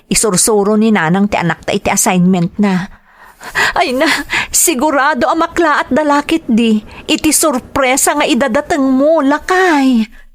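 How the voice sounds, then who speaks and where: A woman acts out a devoted, reassuring wife and mother in an Ilocano-accented radio drama. Her voice sits on the high side. The delivery is expressive and emotive. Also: lightly acted. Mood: glad.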